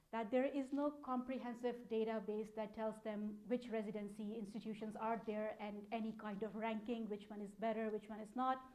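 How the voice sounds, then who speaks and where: Woman, Pakistani accent, fairly high voice, soft-edged mellow tone, conversational presenter. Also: low-quality recording. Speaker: speaker, data analytics program manager. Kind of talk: conference talk, informal presentation.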